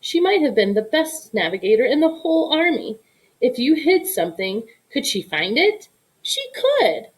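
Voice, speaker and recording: Expressive woman reading a storybook, very animated. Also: high-pitched, normal speed, rough recording. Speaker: storybook reader. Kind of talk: expressive read-aloud narration of a children's story.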